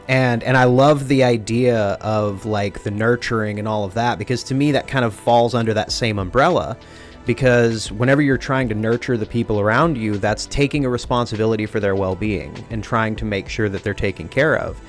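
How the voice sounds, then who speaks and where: A man's voice: lowish voice, moderate pace, soft background music. A young man from rural Texas hosting a casual conversation, earnest and openly emotional, talking with his hands. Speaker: podcast host.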